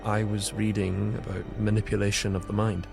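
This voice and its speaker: A man's voice: on the low side, flat delivery, moderate pace, vocal fry. An actor playing a brooding, suspicious young male knight in an audio drama. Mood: nervous, probing. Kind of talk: audio drama acting with brooding read-aloud monologue. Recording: music under the voice.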